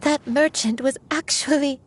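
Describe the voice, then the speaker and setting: An actress playing a gentle, ailing woman who was once a crane, confessing her past with wistful remorse in a video game. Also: high voice, steady tone, slight background noise. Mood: stunned realization. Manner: slow, wistful, confessional storytelling. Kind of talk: dramatic video game voice acting.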